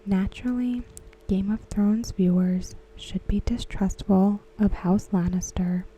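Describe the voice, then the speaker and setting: A woman speaking in a soft unvoiced whisper for an ASMR recap, slow and even throughout. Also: medium pitch, breathy edge, low-quality recording. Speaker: ASMR creator.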